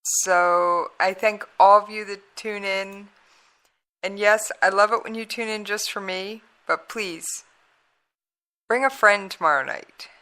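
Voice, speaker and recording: A woman's voice: medium pitch, fairly even intonation, slow, bright, forceful tone. Chatty woman host losing her voice. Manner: chatty, folksy. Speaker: radio show host. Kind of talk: casual radio talk.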